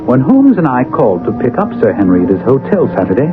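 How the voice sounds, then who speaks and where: Detective's loyal companion, male actor: middle-pitched, earnest storyteller, narrow old radio sound. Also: very animated, quick pace, music in the background, lightly acted. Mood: earnest narrating. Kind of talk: radio drama narration and acting. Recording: tinny old radio recording.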